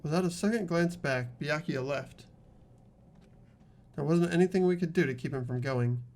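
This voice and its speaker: A man's voice: a little high, moderate pace, a little mellow, natural voice. A man playing an ordinary, earnest young protagonist who narrates his thoughts in a fan-voiced game. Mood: subdued, resigned.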